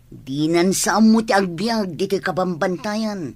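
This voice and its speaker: A man's voice: sits high, slow pace. A wary outlaw sidekick in an old radio drama. He talks conversationally, cautioning and deferring like a loyal underling to a chief. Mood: cautious. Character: wary male bandit henchman deferring to his boss. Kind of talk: Philippine radio drama acting.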